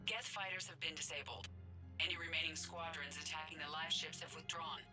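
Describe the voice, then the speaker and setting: A low female military voice from a space game acted by a woman. She reports in a businesslike way while music plays behind her. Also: a little fast, natural voice. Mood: matter-of-fact. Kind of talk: scripted video game dialogue.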